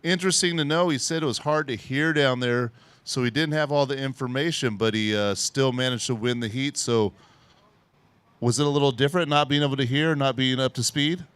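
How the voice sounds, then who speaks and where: Male surf co-host and interviewer, smooth clear voice, dry close sound, casual questioning. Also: mid-range voice, a little fast, a bit bright, no room sound. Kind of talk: casual post-heat interview.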